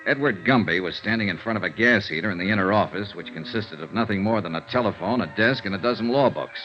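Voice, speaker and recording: Actor as brisk hard-boiled radio detective. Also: male voice, fairly low pitch, natural voice. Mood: dry narration. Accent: American. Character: brisk, assured male insurance investigator, wry and hard-boiled. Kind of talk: 1950s radio acting, first-person detective narration and dialogue. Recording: dull vintage radio recording, music behind.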